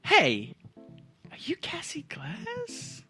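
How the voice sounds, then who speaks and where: Squeaky, excitable street urchin boy. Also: drawn-out words, soft background music, full character voice. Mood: starstruck, excited. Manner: slangy, gushing, childlike. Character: excitable street urchin boy, starstruck, cheeky young knife-toting punk. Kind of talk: tabletop actual play character voice.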